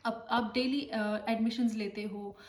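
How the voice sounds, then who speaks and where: A woman's voice: on the high side, fairly even intonation, slow pace, distant mic. A woman from India, a nurse, giving a casual spoken tutorial and often checking in with her listeners as she explains. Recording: echoey room, voice off-mic, faint noise.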